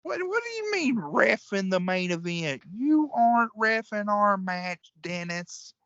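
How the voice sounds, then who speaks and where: A man's voice: high-pitched, sing-song, unhurried, bright, forceful tone, clear, resonant tone, no echo, dull audio, full character voice. A man acting in a comedic audio play as a crude, hot-tempered old-school wrestler, folksy and profane. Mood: frustrated.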